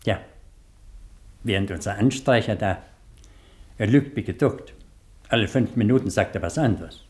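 Low-voiced, calculating police inspector played by a man. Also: expressive, darkish tone, lightly acted. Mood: pondering, doubtful. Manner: deliberate, conspiratorial, commanding. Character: calculating, ruthless male police inspector giving orders. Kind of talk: German radio play acting.

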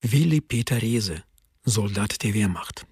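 A man's voice: on the low side, expressive, a little slow, darkish tone, husky, dry recording, clean studio sound. A man announcing the speakers in a radio drama, terse and matter-of-fact. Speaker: narrator. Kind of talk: announcing sources, scripted.

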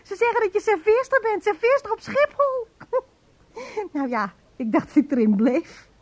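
A woman's voice: very animated, measured pace, no room sound, full character voice. An actress playing a chatty, unabashed prostitute in a Dutch radio drama, telling her tale in a gossipy way. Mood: excited gossip. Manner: gossipy, matter-of-fact, breaking into shrill quoted cries.

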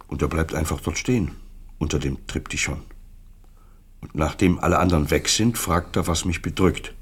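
A middle-aged former secret police officer in a radio play. His voice sits very low and rough around the edges. He talks haltingly, a troubled man whose sentences break off as he seeks absolution. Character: despairing former East German Stasi officer, seeking absolution. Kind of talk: German radio play acting.

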